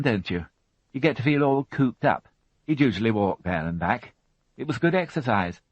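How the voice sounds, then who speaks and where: A man's voice: medium pitch, no echo, voice pushed up. A man voicing a fussy, prim middle-aged housewife who chatters and turns indignant, in a storybook reading. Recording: dull, dry studio audiobook.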